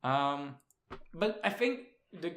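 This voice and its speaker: A man's voice: fairly high pitch, lively intonation, fairly bright tone, slight background noise. Swedish-accented young man, laughing, swearing. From Sweden. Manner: laughs often, swears casually, halting with fillers. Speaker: podcast co-host. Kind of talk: casual chat.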